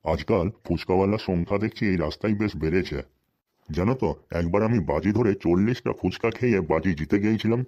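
A man's voice: low-pitched, fast, warm tone, highs cut off. A man voicing a sly, penny-pinching, henpecked husband in a comedy cartoon, speaking a rural western Bengal dialect with folksy, wry asides. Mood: boastful. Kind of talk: comic cartoon dialogue.

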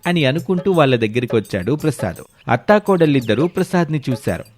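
Mid-pitched male story narrator. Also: sing-song, fast talker, soft background music.

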